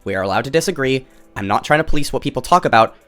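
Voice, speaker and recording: Fast, nasal man reading a critique essay. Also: medium pitch, expressive, fairly bright tone, faint music behind. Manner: measured, careful disclaimers. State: recovering from illness. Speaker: video essayist. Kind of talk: scripted commentary.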